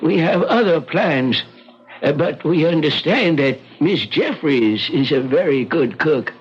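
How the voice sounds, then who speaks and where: Sinister polite old man, old radio mystery, formal n cryptic, slow and swoopy, faint hiss. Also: a little high, expressive. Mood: courteous, cryptic. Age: older. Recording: muffled, noisy vintage radio recording.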